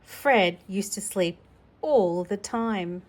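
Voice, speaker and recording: A woman reading a children's storybook aloud in an informal, gentle, conversational way, adding personal asides for a child. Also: mid-range voice, slow pace. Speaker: storybook reader.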